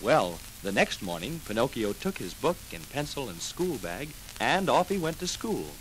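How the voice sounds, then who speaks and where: A man narrating a children's story dramatically, with clear enunciation, in a 1940s record style. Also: medium pitch, sing-song, moderate pace, rough recording. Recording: old 78 rpm record with music.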